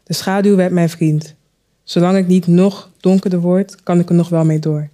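A woman storyteller performing a spoken word piece, with deliberate, dramatic phrasing and rhetorical questions. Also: lowish voice, steady tone, dark tone. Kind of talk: spoken word recitation, poetic monologue.

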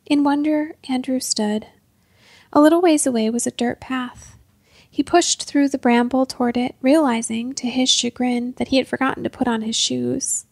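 Female narrator for fiction, slightly high with heavy creak, measured scripted read, very dry sound. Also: no room sound. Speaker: storyteller, narrator.

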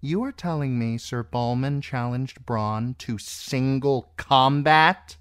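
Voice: mid-range voice, very animated, slow, a little mellow, dry recording, studio quality, pitched above the performer's own voice. A narrator voicing a proud, impatient, scheming queen in an audiobook, with an imperious, cutting and scornful manner.

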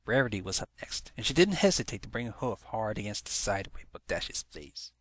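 A man's voice: on the low side, sing-song, brisk, no room sound. A man reading a story aloud as a dramatic scripted narration. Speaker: fan fiction narrator.

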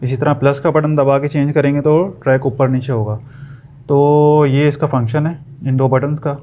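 A man's voice: a little high, steady tone, normal speed. A man gives an informal step-by-step product tutorial, explaining patiently and often checking in with short tags. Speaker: product reviewer giving a tutorial. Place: indoor room, tabletop demonstration. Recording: dull sound with background noise.

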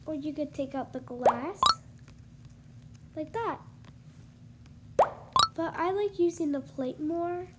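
A young woman talks through her game build in a high, stuffy voice, congested from allergies. She takes her time, trailing off with ums and likes. Steady noise hums under her voice. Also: slow, long pauses. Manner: chatty, hesitant, lots of ums and likes. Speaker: gaming YouTuber. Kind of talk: casual game-build tour voice-over.

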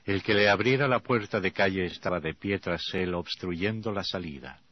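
A man's voice: low voice, moderate pace. A man narrating a scripted horror story as radio theatre. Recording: dull vintage radio recording. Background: music.

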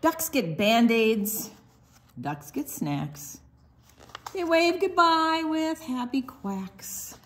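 An older woman reads a picture book aloud for young children. Her pace is extremely slow and deliberate, and her pitch swings widely in a sing-song storytime delivery. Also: big pitch swings, fairly bright tone, slight background noise. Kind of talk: expressive children's read-aloud with asides.

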